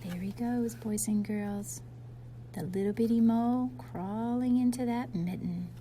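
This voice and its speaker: Slow-paced woman reading a children's storybook. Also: fairly high pitch, a little hiss or hum. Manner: expressive, addressing young listeners with simple questions and asides. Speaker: teacher reading aloud to young children. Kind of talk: children's picture-book read-aloud.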